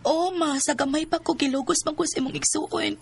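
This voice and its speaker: A woman's voice: sits high, fairly even intonation, fairly quick, creaky, slight background noise. A woman with a Bisaya Filipino accent playing a hurt, troubled young daughter in a radio drama. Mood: pleading, upset. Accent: Bisaya (Cebuano) Filipino.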